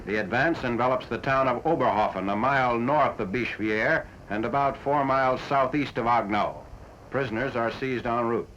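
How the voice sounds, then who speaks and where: A man reading a scripted 1940s military newsreel narration in a formal, factual, measured manner. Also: medium pitch, a bit bright. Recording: dull, noisy 1940s film soundtrack.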